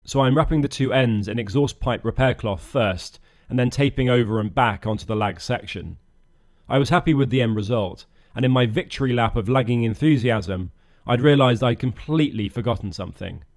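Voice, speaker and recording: A man's voice: mid-range voice, moderate pace, darkish tone. A man narrating his own boat project in a scripted voice-over, dry and self-mocking. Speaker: channel host narrating a boat build.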